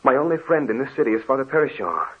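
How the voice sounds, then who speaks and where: Brief: earnest young suitor, husky, passionate; muffled vintage radio sound. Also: male voice, mid-range voice, sing-song, quick pace. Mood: tender. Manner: ardent, pleading. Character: young male lover, earnest and devoted. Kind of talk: 1940s radio acting. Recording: dull lo-fi old radio recording.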